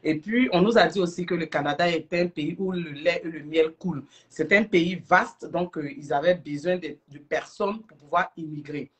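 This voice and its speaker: Middle-aged woman, Ivorian accent, earnest and deliberate, livestream interview guest. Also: on the low side. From Ivory Coast. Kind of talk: explanatory interview talk on a livestream.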